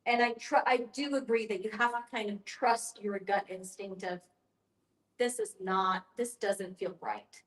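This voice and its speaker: A woman facilitating a group discussion in an explanatory way, with a measured, encouraging manner. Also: medium pitch, steady tone, a bit bright. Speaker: guest facilitator and end-of-life educator. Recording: echoey room sound.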